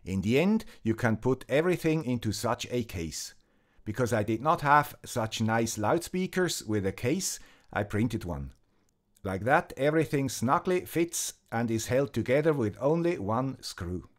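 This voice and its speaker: A methodical man with a Swiss German accent walks through a tech build step by step. His pitch rises and falls a lot as he explains. It is a measured, scripted voice-over. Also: expressive. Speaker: electronics video host. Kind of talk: scripted tech tutorial narration.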